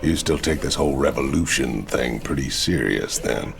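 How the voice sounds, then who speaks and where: An actor playing a huge, cocky ex-convict outlaw in a game cinematic, gruff American drawl, swaggering and teasing. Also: male voice, animated, normal speed, full character voice. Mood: wry. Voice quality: very deep gravelly drawl. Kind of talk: video game cinematic acting.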